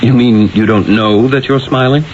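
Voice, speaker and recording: An actor playing an urbane, exasperated Renaissance painter in a 1970s radio drama, with a theatrical, emphatic, probing manner. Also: male voice, medium pitch, fairly even intonation, moderate pace, a little mellow, lightly acted. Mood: baffled, exasperated. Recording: dull, hissy old radio broadcast.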